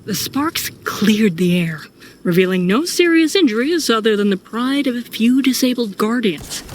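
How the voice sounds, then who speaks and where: A woman's voice: medium pitch, slight background noise. A woman giving scripted, dramatic first-person narration as the narrator of an audio story.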